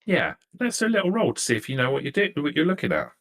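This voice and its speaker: A man's voice: a little high, very animated, fast, fairly bright tone. A man improvising narration as a game master, hesitant and repeating words as he searches for the right one.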